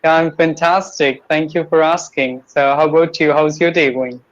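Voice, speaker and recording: A man's voice: a little high, little pitch movement, moderate pace, muffled recording. A young man from Kanpur with an Indian English accent, chatting politely and a bit formally about learning English. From Kanpur, Uttar Pradesh, India. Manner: polite, slightly formal phrasing. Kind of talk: casual online conversation.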